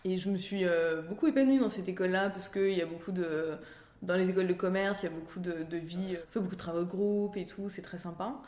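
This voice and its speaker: Female, thirties, lowish register, chatty personal storyteller, recorded in an echoing room. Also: steady tone, fast, darkish tone. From France. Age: 30s. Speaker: interview guest, career-change coach and author. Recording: roomy, echoing sound.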